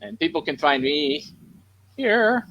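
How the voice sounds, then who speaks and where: Slightly high-voiced man co-hosting a panel. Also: lively intonation, slow, sharp tone, no echo, dull audio. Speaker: co-host. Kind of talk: casual panel chat. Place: remote video call.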